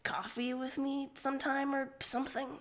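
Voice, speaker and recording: A woman's voice: high voice, level tone, normal speed, natural voice. A woman voicing a bold young man asking his crush out, in a dramatic reading of fan fiction. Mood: hesitant, earnest.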